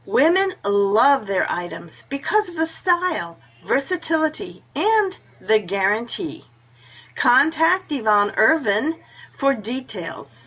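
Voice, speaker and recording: A woman's voice: fairly high pitch, fairly bright tone, breathy edge, dull audio. A woman hosting a radio show, chatting and reading scripted announcements. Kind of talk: friendly interview sign-off and scripted sponsor reads.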